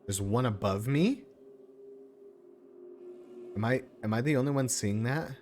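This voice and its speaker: A man's voice: mid-range voice, a little slow, a little mellow. Sweary male game streamer, faint background noise. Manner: irreverent, sweary, easily distracted. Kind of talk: casual live-stream commentary.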